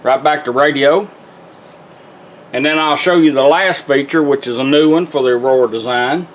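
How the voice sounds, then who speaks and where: A man's voice: medium pitch, moderate pace, a little hiss or hum, rough recording. A middle-aged man with a Southern American accent gives a casual, plain-spoken hands-on product demonstration, explaining it step by step. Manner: folksy, plain-spoken, instructional. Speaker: car radio builder demonstrating product. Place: indoor workbench. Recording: music playing behind the voice. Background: music and radio from demonstrated car stereo.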